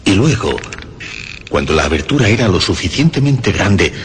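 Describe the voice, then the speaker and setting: Low, nervous, obsessive madman. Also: sing-song. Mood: boastful, feverish. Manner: agitated, emphatic, halting repetitions. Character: nervous, obsessive male murderer insisting he is sane. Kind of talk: dramatic radio horror monologue. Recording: long reverb, faint background noise.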